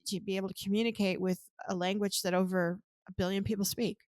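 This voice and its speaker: A woman's voice: mid-range voice, flat delivery, quick pace, a little mellow, no echo. American woman podcaster, dry close sound. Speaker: podcast co-host. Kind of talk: casual podcast conversation.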